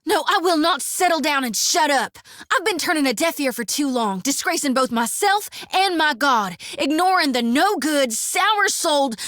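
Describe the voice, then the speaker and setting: A devout young priestess from a fantasy game, with a blunt, folksy way of talking. Her voice sits high and leaps across a wide range. It is recorded dry and close in a studio. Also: sharp tone, no room sound, heavily acted. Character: devout fiery young woman priest, folksy, quick-tempered. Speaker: companion character. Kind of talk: video game voice acting. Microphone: dry studio mic.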